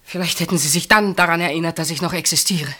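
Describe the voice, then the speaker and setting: An actress in a German radio play, playing an anguished woman secretly in love with her sister's husband, intense and dramatic. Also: lowish voice. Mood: bitter, impassioned. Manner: intense, dramatic, swinging from outcry to hushed halting speech.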